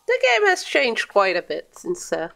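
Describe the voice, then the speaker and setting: A woman's voice: on the high side, huge pitch swings, a little slow, vocal fry, fairly bright tone. A young adult woman livestreaming a game, chatting casually and playfully with viewers and exclaiming at the screen. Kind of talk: casual gaming stream chat.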